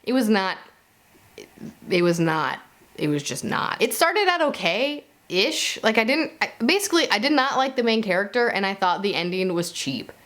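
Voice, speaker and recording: A woman talks casually to camera as a book vlogger, with very lively, swooping intonation. Her pitch sits in the middle range for a woman. She is informal and conversational, often correcting herself and dropping in playful asides. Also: moderate pace. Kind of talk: casual chatty monologue to camera.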